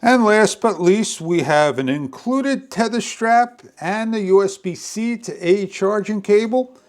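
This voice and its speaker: Slow, swooping male product demonstrator. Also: sits high, expressive. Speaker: product reviewer. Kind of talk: step-by-step product demonstration narration.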